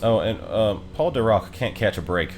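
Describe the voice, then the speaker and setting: A man gives casual let's play commentary. His voice sits on the low side and his delivery is offhand and loose. Game music plays behind him. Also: lively intonation, normal speed. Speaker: game streamer.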